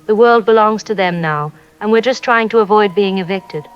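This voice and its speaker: A woman's voice: medium pitch, moderate pace, soft background music, close to an everyday voice. A woman playing a resigned, solemn radio operator speaking reflectively in an apocalyptic radio drama. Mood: somber, defeated. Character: somber, resigned female radio operator survivor. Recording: dull, noisy radio with rain.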